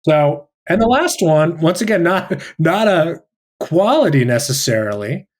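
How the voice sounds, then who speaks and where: A male teacher sharing personal reflections in a thoughtful, halting way with many restarts, over a video call. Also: on the high side, sing-song.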